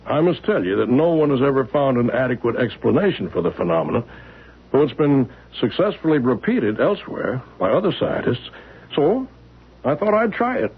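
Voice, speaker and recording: An actor playing a calm professor who explains things in a measured way in an old radio drama. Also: male voice, medium pitch, breathy edge. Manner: measured, lively storytelling delivery. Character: calm older professor neighbor, gentle and explanatory. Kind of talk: 1970s radio drama acting. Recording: tinny lo-fi old radio broadcast.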